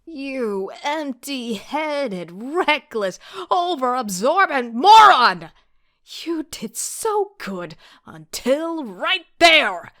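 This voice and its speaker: A woman's voice: a little high, a bit bright, full character voice. A woman playing an agitated young student who narrates her own story in an audio drama, sardonic and self-deprecating. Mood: exasperated. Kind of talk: first-person audio drama narration with outbursts.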